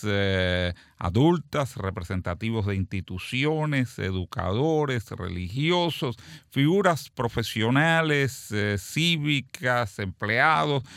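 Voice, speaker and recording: Male radio host, low voice, dry close sound, formal and measured with fillers. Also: a bit bright, no room sound. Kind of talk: community radio panel.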